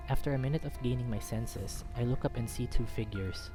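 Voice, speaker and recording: A man's voice: mid-range voice, a little fast. A man reading a first-person story aloud as a dramatic narrator. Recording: background music under the voice. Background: music.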